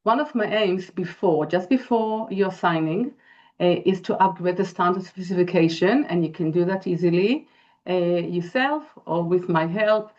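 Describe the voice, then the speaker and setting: Israeli woman with a low, dull-sounding voice. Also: a little mellow. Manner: earnest, halting, many fillers. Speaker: interior designer presenting. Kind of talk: webinar presentation. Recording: dull lo-fi video call audio.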